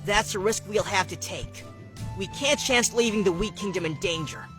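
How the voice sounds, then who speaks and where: Bright-voiced brave little dragon. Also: male voice, high-pitched, moderate pace. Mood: steady, resolute. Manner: earnest, resolute. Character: brave, level-headed young wee dragon leader. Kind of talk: animated cartoon voice acting. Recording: music behind the voice.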